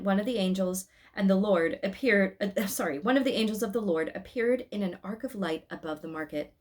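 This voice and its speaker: A woman reading a story aloud expressively, in an informal way, with little asides to her listeners. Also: mid-range voice. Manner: animated, addresses listeners with asides.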